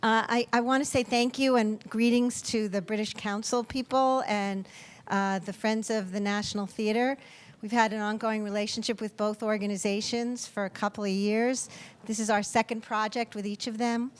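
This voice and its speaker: A woman giving an informal, halting welcome speech to a theatre audience as artistic director. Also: medium pitch, fairly even intonation, clean tone. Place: theatre, live audience event.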